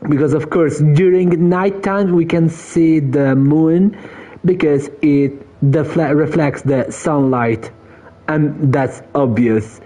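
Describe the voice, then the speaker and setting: Italian-accented male streamer, native Italian; slow, mellow delivery, casual chat to viewers. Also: fairly high pitch, steady tone. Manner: explains slowly, answering viewers, searching for words. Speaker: game streamer.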